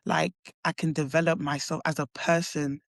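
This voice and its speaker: A woman's voice: sits low, little pitch movement, moderate pace, vocal fry, dry recording. Hesitant young London woman, dry sound. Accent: London English. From London, England. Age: young adult. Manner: hesitant, full of likes and restarts. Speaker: college basketball player guest. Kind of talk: casual interview chat.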